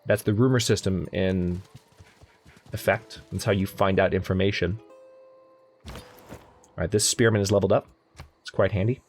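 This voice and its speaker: Young male let's-play host, lowish voice, chatty and self-correcting, soft game music underneath. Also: steady tone, moderate pace, long pauses. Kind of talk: casual gameplay commentary. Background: faint game music.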